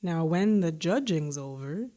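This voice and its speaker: A woman's voice: deep voice, animated, a little slow, warm tone, voice pushed down. A woman voicing a keen, scheming townsman in a children's storybook, declaiming his sly plans emphatically.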